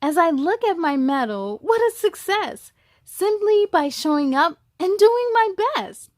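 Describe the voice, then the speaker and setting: A woman's voice: very high-pitched, very animated, unhurried, no echo, studio quality, voice pushed up, full character voice. A woman voicing a determined, upbeat female triathlete from a children's storybook in an earnest, emphatic way. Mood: proud.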